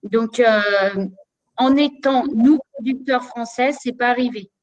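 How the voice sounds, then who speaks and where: A woman's voice: fairly high pitch, takes it slowly, lo-fi sound. A woman from Canada, speaking as a supply chain manager on a video call panel, hesitant and full of fillers. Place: office room. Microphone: video call webcam.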